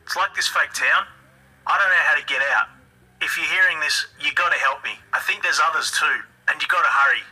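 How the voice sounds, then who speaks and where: An actor playing a trapped, frantic man who is losing his memories, pleading in a rushed audio log. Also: a little high, sharp tone, husky, full character voice, low-quality recording. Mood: desperate.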